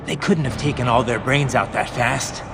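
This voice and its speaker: Man acting grim cyborg soldier, mid-pitched, terse, intense, game cutscene, clear rumbling noise behind. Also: moderate pace, lightly acted. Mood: urgent. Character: grim, determined male cyborg soldier hero.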